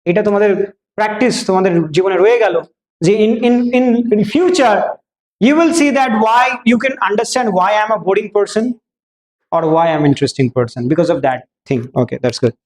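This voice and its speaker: A man's voice: sits high, very animated, rapid-fire, a little mellow, low-quality recording. A man with a Bengali Indian English accent lecturing a class as a speaking teacher, emphatic, with rhetorical questions and repetition, switching between two languages.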